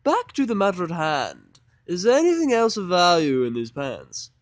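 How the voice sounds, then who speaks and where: Male performer as female cartoon pony, high-pitched, prim, haughty, theatrical, drawn-out words, dry recording. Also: sing-song, no echo. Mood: insistent. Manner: haughty, drawn-out, dramatic delivery. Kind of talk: fan fiction audio drama character voice.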